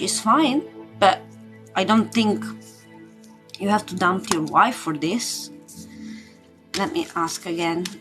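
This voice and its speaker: Unhurried woman reading tarot over loud music. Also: mid-range voice. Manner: hesitant, searching, often repeating words. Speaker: tarot reader and spiritual coach hosting a live session. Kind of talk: casual live tarot reading to viewers.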